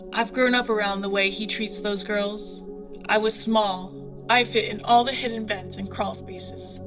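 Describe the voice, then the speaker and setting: Woman acting a defiant young airship pilot over music. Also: fairly high pitch. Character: tough, streetwise young female airship pilot, defiant and protective. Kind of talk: audio drama dialogue.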